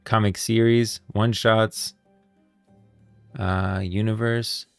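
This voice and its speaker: A man's voice: on the low side, level tone, slow pace, gravelly, smooth, no room sound, soft background music. A man hosting a livestream, chatting casually and thinking aloud to viewers in informal, slangy language. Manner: informal slangy talk addressing viewers as guys and bros. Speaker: livestreamer and host.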